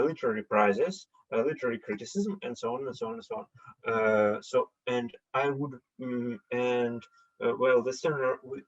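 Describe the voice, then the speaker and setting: Hesitant man. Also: medium pitch. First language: Russian. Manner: hesitant, many filler sounds. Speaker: seminar participant. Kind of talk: online meeting remarks. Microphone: computer mic on a video call. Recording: dull lo-fi call audio.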